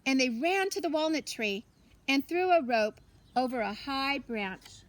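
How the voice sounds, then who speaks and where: High-pitched, slow-paced woman reading a storybook. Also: dry recording, rough recording. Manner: deliberate, expressive storytelling with pauses. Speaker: teacher reading a story aloud. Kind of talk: children's storybook narration.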